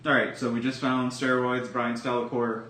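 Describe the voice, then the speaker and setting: A man's voice: medium pitch, flat delivery, moderate pace, pushed and bright. A man playing a tabletop game, chatting casually and questioning details as he works them out aloud. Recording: echoey room, distant mic, background noise.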